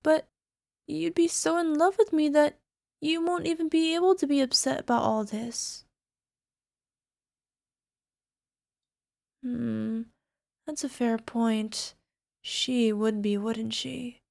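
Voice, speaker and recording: A lovesick young alchemist girl acted out by a woman speaks in a fairly high voice with no room echo. She coaxes coyly and sounds sweet with a possessive, menacing edge, in the style of an ASMR girlfriend roleplay. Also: fairly even intonation, a little slow, gravelly, a little mellow. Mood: coaxing. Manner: coy, coaxing, giggly, tag questions. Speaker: voice actor.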